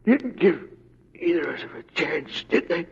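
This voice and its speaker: An actor playing a grizzled, folksy old deputy sidekick in a 1940s western radio drama. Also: male voice, a little high, big pitch swings, moderate pace, husky, exaggerated voice. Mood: weak, dying. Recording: dull old radio recording.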